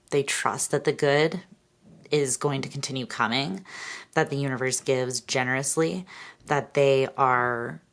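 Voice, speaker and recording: A woman giving a casual tarot reading to her viewers, hoarse from losing her voice, often clearing her throat and meandering with fillers. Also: rumbling low. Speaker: tarot reader.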